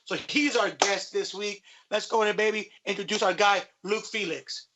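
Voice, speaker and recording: A man's voice: high voice, steady tone, normal speed, background noise. A man co-hosting a sports podcast in casual, slangy, emphatic talk that repeats phrases.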